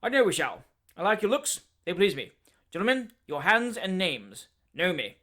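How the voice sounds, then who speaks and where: A man voicing a fierce, blustering former army man in middle age, speaking loud, short, abrupt, jerking sentences. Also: high-pitched, very animated, normal speed, exaggerated voice. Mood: hearty.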